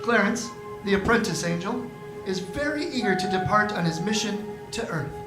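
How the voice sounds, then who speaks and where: A woman's voice: medium pitch, lively intonation, normal speed. A woman announcing a live radio show with a playful, salesy manner. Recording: roomy live stage recording, music behind.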